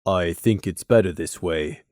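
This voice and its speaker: A man voicing a calm, resigned pony companion in a low-key manner. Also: a little slow, no room sound, studio quality.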